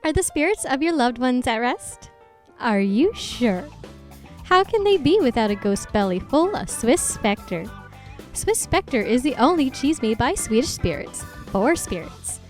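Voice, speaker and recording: Sinister ad announcer played by a woman, high and animated, theatrical sales pitch. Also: a little slow, faint music behind. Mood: ominous pitch. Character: female sponsor announcer, sinister and sales-bright. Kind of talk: parody radio advert.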